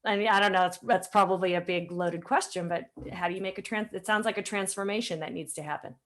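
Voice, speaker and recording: A woman's voice: mid-range voice, fast talker. A woman hosting a podcast in a conversational, informal way, thinking aloud as she talks. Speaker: podcast host. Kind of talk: conversational interview.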